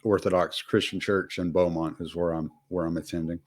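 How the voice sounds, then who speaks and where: A man's voice: deep voice, little pitch movement, a little fast, a little mellow. A man, hosting a casual interview in an easygoing, rambling way. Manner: easygoing, rambling, chuckles often. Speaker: podcast host.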